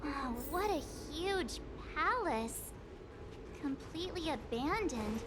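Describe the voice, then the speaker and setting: A woman voicing a small, childlike girl companion in a video game, chatty, dramatic and expressive. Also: high-pitched, slow, background noise. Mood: wondering. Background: background music.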